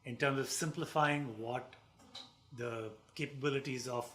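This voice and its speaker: A male co-host with a crisp, bright voice at a middling pitch. He talks through long, meandering questions, pausing on fillers as he works out what he wants to ask. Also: steady tone. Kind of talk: conversational livestream interview.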